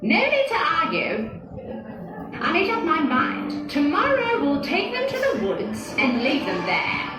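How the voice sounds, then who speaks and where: A woman's voice: very high voice, wildly swooping pitch, measured pace, very echoey, over loud music, pitched above the performer's own voice, exaggerated voice, rough recording. A woman voicing a cruel, scheming stepmother in a storybook tale, insistent and commanding. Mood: cold, decided.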